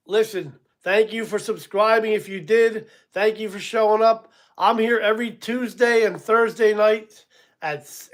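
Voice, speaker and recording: A man in his sixties hosting a casual live stream, rambling a little, chuckling and answering viewers by name. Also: sits high. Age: 60s. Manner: casual, rambling, chuckles at himself, many ums. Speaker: livestream host.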